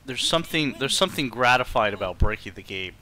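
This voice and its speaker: A man's voice: mid-range voice, animated, quick pace, bright, forceful tone. A man chatting casually and in a rambling way as he streams a video game. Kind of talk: casual livestream chat over gameplay.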